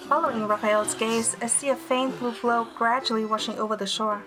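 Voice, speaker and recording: A woman's voice: on the high side, steady tone, a little fast, over music, natural voice, rough recording. A woman playing a wry, affectionate young heroine who narrates her own romance in an audio drama. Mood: narrating. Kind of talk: first-person narration and dialogue in audio drama.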